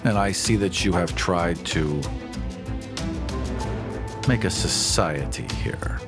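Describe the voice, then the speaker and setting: A man playing a stern, solemn adventurer in a tabletop roleplaying game, speaking in a grave, formal, deliberate manner. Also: low-pitched, expressive, loud music behind the voice. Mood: solemn, accusing. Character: solemn, stern divine quest-bound adventurer Veldani.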